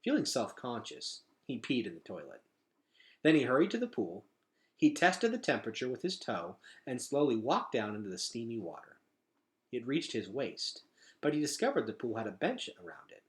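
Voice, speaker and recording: A man reading a children's story aloud as a teacher, with expressive, dramatic emphasis. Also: mid-range voice, moderate pace.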